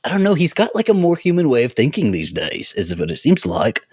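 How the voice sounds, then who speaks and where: A man's voice: medium pitch, wildly swooping pitch, fairly quick, a little mellow, slightly rough, no room sound, clean studio sound. A man co-hosting a podcast, thinking aloud in casual, sweary discussion of fiction. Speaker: podcast co-host.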